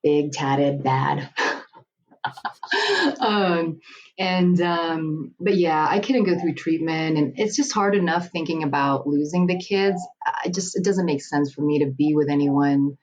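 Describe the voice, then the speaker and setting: A woman in her forties with a notably low voice, speaking openly and laughing easily in a relaxed conversation. The recording sounds a little dull, with faint noise underneath. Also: measured pace. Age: 40s. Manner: candid, laughs often. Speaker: guest. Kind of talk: casual conversation.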